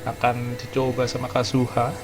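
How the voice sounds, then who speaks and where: A man's voice: medium pitch, completely flat delivery, fairly quick. Indonesian guy commentating, game music behind. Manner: informal, conversational. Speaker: gaming streamer. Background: video game music.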